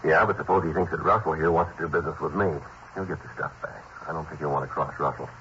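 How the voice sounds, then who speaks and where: A deadpan police sergeant with a very deep voice, pushing through questions quickly in clipped, flat lines. The vintage radio recording is dull with a faint hiss. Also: male voice, full character voice. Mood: planning. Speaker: police detective. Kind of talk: 1950s radio crime drama acting.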